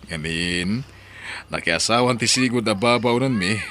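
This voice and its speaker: A man playing a well-off household employer in an audio drama, deliberate and authoritative. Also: on the low side, sharp tone, slight background noise. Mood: businesslike. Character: well-off male household employer, calm and bargaining.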